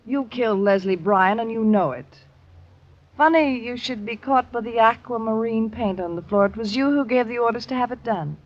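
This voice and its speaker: A woman's voice: on the high side, moderate pace, lightly acted. An actress playing a cool, scornful, triumphant woman in a 1950s radio drama. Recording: dull old radio recording.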